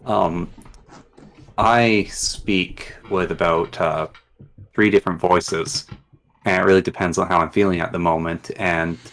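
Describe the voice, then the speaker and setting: A man hosting a casual conversation, talking in a relaxed, reflective way. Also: low voice, measured pace. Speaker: podcast host.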